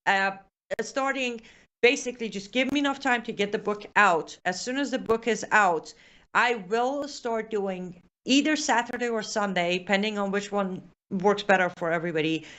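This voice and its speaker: A woman's voice: mid-range voice, a bit bright, clean tone. A woman with a Persian accent, hosting a live show and chatting through viewer comments, with many fillers and restarts.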